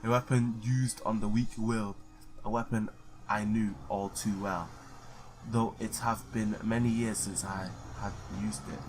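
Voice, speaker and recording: A man's voice: lowish voice, fairly even intonation, a little slow, a little hiss or hum, low-quality recording. A man doing a let's play, reading visual novel text aloud, stumbling now and then and blurting his own reactions.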